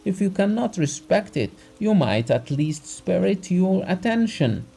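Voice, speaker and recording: A man's voice: high-pitched, expressive, slow, slight background noise, pitched above the performer's own voice. A man voicing a raging, contemptuous country doctor. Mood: indignant. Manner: shouting, contemptuous. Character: furious embittered male country doctor. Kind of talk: audiobook character reading.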